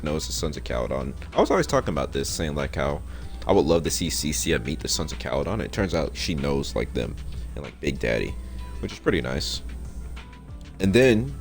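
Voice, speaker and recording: A young man giving a casual, slangy game story recap and reaction in a laid-back, rambling way. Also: rumbling low. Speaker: content creator.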